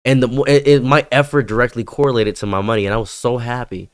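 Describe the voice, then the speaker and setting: A man's voice: medium pitch, quick pace, smooth, no echo, studio quality. A man in his thirties, born in Egypt, chatting casually on a video call and acting out quoted remarks as he tells stories. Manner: animated storytelling, acts out quotes. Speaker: streamer host. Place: bright green-walled room indoors. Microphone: webcam on a video call.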